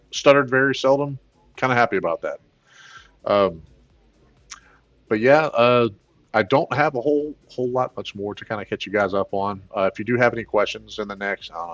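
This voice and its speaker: A man with a plain baritone voice, hosting a casual gaming livestream, rambling with many fillers and excited bursts. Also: muffled recording. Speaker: gaming channel host.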